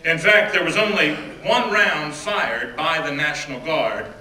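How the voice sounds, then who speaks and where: A man giving a first-person dramatic reading of an oral history, measured and deliberate, often dropping to hushed phrases. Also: fairly high pitch. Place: stage or event room. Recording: roomy, distant mic with faint background noise.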